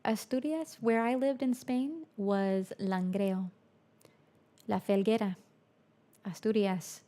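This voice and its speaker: A woman's voice: medium pitch, takes it slowly, warm tone. A young woman hosting a music livestream from her home studio, chatting casually with viewers and answering their chat, now and then in Spanish.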